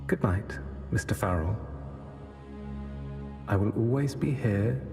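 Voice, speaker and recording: A stern religious inquisitor speaks with slow, solemn weight, offering mercy as if it were a command. His voice rings through a long reverberant hall with music underneath. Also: lowish voice, vocal fry. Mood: coolly persuasive. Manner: solemn, measured, sanctimonious. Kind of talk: scripted video game dialogue.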